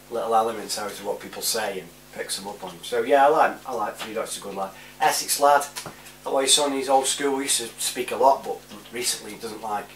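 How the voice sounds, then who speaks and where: A British man in his forties chatting casually to camera in a laid-back, rambling way, giving shout-outs. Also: mid-range voice. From United Kingdom. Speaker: vlogger. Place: small room indoors at home. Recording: roomy, distant mic with faint hum.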